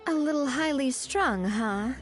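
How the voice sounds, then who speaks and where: A friendly young woman from a video game, with a high and very animated voice. She is poised, chatty and reassuring, with faint music underneath. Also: a little slow. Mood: wry, pensive.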